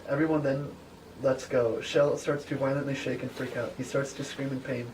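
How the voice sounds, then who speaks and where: A man with a mid-pitched voice reads stage directions in an informal narrating style. The recording is noisy and he sounds some distance from the microphone. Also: level tone, moderate pace, darkish tone.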